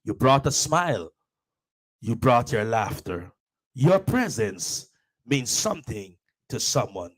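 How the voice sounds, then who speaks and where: A man's voice: medium pitch, big pitch swings, takes it slowly, low-quality recording. A man with a Guyanese accent hosting a live motivational talk, preaching in repeated, building phrases with frequent "oh yes" interjections. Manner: preachy, repetitive, builds with rising exclamations. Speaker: host and motivational speaker.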